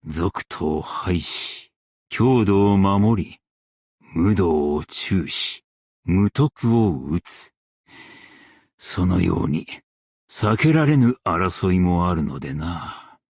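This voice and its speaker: A man playing a stern, dignified old-world master craftsman and warrior in a game, speaking in archaic, formal, measured Japanese diction with weighty delivery. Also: low voice, expressive, darkish tone, slightly rough, no room sound, clean studio sound, heavily acted. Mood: grave, measured. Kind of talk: Japanese game character voice lines.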